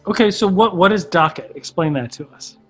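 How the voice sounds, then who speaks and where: A man's voice: high voice, a little fast, breathy edge, lo-fi sound, faint music behind. A man hosting a podcast interview, chatty and casual, with frequent stumbling restarts. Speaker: podcast host.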